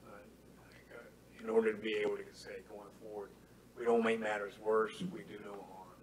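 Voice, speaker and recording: Low, flat-voiced man losing his voice. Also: a crowd in the background. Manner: earnest, measured, repeats key phrases. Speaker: committee member. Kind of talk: public meeting discussion. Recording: faint room noise, meeting hall.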